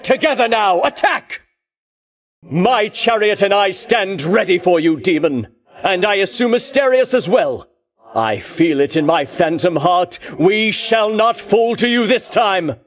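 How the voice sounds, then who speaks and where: Casting: a boastful ancient Greek champion king in a game, high ringing voice, theatrical pomp, wildly swooping intonation. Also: lively intonation, a little slow. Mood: rousing battle cry, then haughty boasting. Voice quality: ringing, declamatory. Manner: pompous, archaic grandiose diction. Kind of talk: theatrical video game voice acting.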